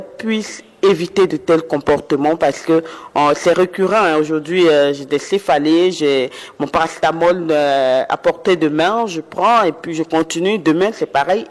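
A male host questioning a doctor in a health interview, hesitant with fillers but insistent. His voice sits somewhat high for a man. A long reverb hangs on the sound.

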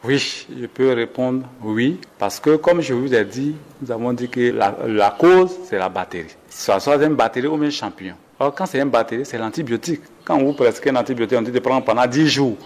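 A man's voice: mid-range voice, fairly quick, hardly any pauses. Hesitant male doctor, reverberant sound. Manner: hesitant, many fillers and restarts, advising listeners. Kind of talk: health interview on radio.

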